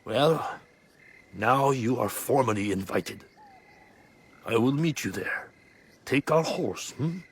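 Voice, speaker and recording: An actor playing a gentle, fatherly man of feudal Japan, encouraging and measured, in a video game cutscene. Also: medium pitch, lively intonation, slightly rough, full character voice. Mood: fond, encouraging.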